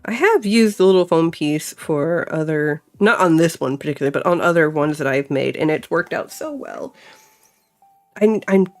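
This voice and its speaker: A young woman with a slightly low voice talks through her crafting at a home table, musing aloud and peppering her sentences with "like." Faint music plays quietly behind her. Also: animated, normal speed. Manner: chatty, thinks aloud, many fillers like "like". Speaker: crafting vlogger. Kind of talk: casual chat while crafting.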